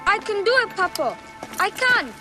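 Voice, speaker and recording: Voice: extremely high pitch, big pitch swings, moderate pace. A performer playing a frightened, eager young boy, a warrior's son, pleading anxiously in a game. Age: child. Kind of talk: cinematic game voice acting. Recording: distant echoing scene, loud music.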